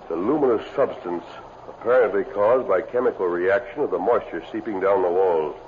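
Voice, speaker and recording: An actor playing a calm, resolute masked western lawman in a 1950s radio adventure, speaking in formal, precise sentences. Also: deep voice, a little fast, natural voice. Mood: calm, explanatory. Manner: formal, precise, explanatory diction. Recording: muffled, noisy old radio broadcast recording.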